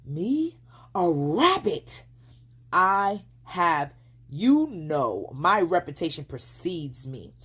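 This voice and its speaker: A woman's voice: lowish voice, big pitch swings, drawn-out words, fairly bright tone, slightly rough, heavily acted. A woman voicing a vain, pompous male fox in a storybook, speaking in a mock-courtly, formal way and easily affronted. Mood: affronted, indignant.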